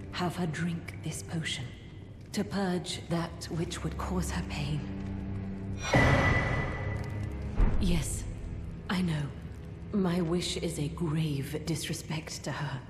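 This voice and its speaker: An actress voicing a grave, guilt-ridden mother who runs a manor, speaking in measured, solemn, formal lines. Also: sits low, fairly even intonation. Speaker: game character. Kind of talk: video game cutscene acting. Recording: roomy echo. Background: game music.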